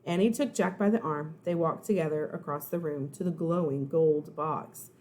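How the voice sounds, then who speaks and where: A woman, a first grade teacher, narrating a children's storybook in an expressive, suspenseful, dramatic way. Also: deep voice. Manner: suspenseful, dramatic, pauses to explain words.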